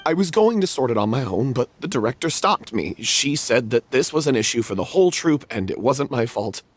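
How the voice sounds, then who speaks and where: An actor playing a guilt-ridden male theater troupe member in a video game, confessing with growing self-blame in a husky voice. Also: mid-range voice, big pitch swings, fairly quick, no room sound. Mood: remorseful.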